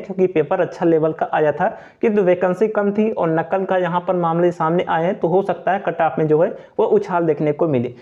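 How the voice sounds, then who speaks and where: High-pitched young man, explaining. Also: warm tone. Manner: repetitive, explanatory. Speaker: exam-update channel presenter. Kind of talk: informal explainer voice-over. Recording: dull, lo-fi voice recording.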